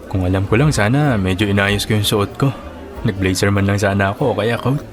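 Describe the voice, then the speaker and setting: A man's voice: lowish voice, fairly quick, over music, natural voice. A man acting a jealous, insecure young boyfriend in a Filipino radio drama. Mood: self-conscious.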